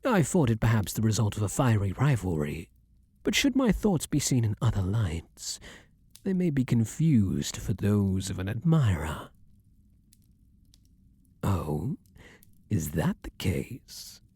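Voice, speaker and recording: A man playing a formal, tender vampire king in an intimate ASMR roleplay, speaking in measured, old-fashioned courtly phrases. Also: fairly low pitch, sing-song, a little mellow, slightly rough, dry recording, heavily acted. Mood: tender, earnest.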